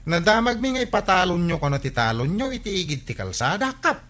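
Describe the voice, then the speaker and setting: Animated Ilocano comedy villager. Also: male voice, sits high, a little fast. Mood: agitated. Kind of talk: broad comedy radio drama acting.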